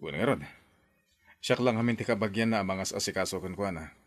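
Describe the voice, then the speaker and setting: A man's voice: lowish voice, brisk, close to an everyday voice. A man playing a wary uncle who looks after his young niece in a radio drama, speaking in a guarded way. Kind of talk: Ilocano radio drama acting.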